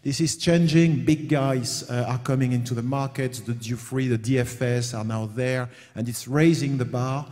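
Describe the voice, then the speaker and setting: A middle-aged man from France speaks to a conference audience, pausing often on fillers as he works through his points. His voice sits at an ordinary pitch and rings with the long reverb of a big hall. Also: fairly even intonation, darkish tone. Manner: hesitant, many "uh" fillers. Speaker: panel speaker, company founder. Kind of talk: conference panel talk.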